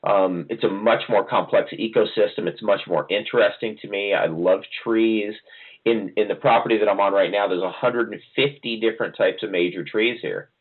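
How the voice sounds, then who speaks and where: A man from New Jersey chats as an interview guest in a low, easygoing voice. He rambles and restarts often, filling pauses with ums. The sound is narrow and phone-like. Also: breathy edge. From New Jersey, USA. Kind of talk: casual interview answers.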